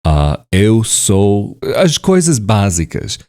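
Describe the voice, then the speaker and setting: Slow, hesitant man, mellow low voice. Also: sing-song. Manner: hesitant, many fillers and self-corrections; laughs often at himself. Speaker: vlogger. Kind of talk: casual to-camera talk in a learned language.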